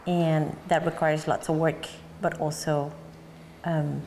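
A woman's voice: sits low, little pitch movement, slow pace, darkish tone. A woman from Mexico with a Mexican accent, in her forties, talking thoughtfully and searching for words in an oral history interview. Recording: long hall reverb, faint background noise.

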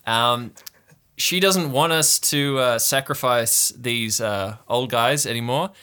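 A man's voice: medium pitch, slow, pushed and bright, clean tone, voice pushed up. A man voicing an easygoing boy cult leader who drawls in slangy surfer-dude talk full of fillers. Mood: laid-back, announcing.

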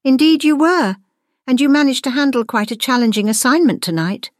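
A woman's voice: high voice, brisk, dry recording, clean studio sound, voice pushed up, close to an everyday voice. A woman voicing a composed, wry, knowing witch who teaches school, in a storybook. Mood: fond, knowing.

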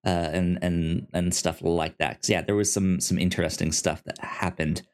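A man co-hosting a podcast, chatting casually about television in a halting, stammering way that often repeats words. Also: low-pitched, flat delivery. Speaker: podcast host. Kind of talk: casual TV review chat.

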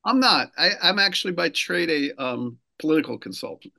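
A man from New Jersey, a political consultant, explaining a law in a radio interview, pausing often with fillers and restarts. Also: a little high, expressive, vocal fry, pushed and bright. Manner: measured, many fillers and restarts. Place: lives in Rhode Island.

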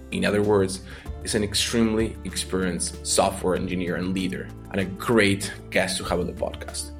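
A man hosting a podcast, reading a scripted intro and then asking questions with frequent restarts. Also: deep voice. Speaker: podcast host.

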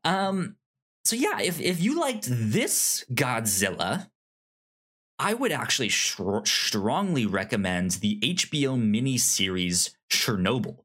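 Guy hosting a review podcast, talks slow, stammers and restarts words a lot, casual. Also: mid-range voice, big pitch swings, fairly bright tone. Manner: halting, stammering with repeated word starts. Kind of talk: casual podcast chat, giving recommendations.

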